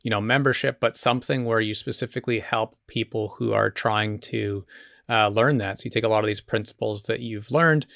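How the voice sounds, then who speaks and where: A man's voice: on the low side, fairly even intonation, brisk, creaky, fairly bright tone, no echo, studio quality. A man hosting a podcast, asking questions in a loose, rambling way with many fillers. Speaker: podcast host. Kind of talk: casual interview talk.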